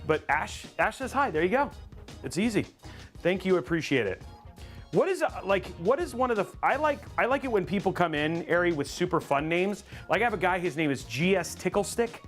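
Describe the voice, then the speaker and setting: Chatty upbeat man with lively pitch. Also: a little fast, soft background music. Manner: rambling, upbeat, many restarts and fillers. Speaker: host of a live PC-building stream. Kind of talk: casual live-stream chat.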